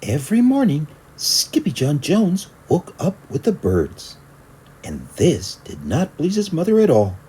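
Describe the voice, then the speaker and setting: A man reading a children's story aloud in an animated, expressive style. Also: a little high, unhurried, breathy edge, rough recording. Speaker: storybook reader.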